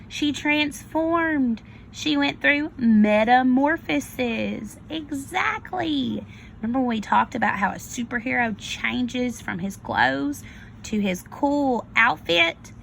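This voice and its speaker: Slow, creaky-voiced woman teacher reading aloud. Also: on the high side, a little hiss or hum, poor audio. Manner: expressive, instructive, prompting listeners with questions. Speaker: kindergarten teacher. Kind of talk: read-aloud lesson for young children.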